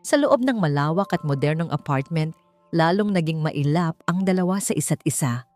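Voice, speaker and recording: A woman narrates a romance drama in a slightly low register. Her pitch moves expressively through the scripted prose, with faint music playing behind her. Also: animated, moderate pace, a little mellow. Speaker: story narrator.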